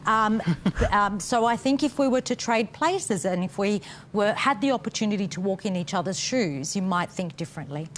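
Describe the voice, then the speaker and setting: A woman's voice: mid-range voice, animated, moderate pace, slight background noise. A woman on a discussion panel, speaking hesitantly with many fillers. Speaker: panel guest.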